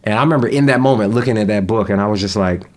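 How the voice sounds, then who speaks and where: A young man chatting casually as a podcast guest, relaxed, with frequent laughs and fillers. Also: lowish voice, very fast. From United States.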